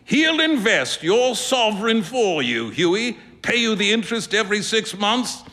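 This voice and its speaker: A man's voice: high-pitched, sing-song, a little slow, voice pushed up, heavily acted. A man voicing a jovial male painter who teases his friend, read aloud on a stage. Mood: amused. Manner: bright, hearty, amused. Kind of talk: stage reading of a story. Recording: reverberant live hall.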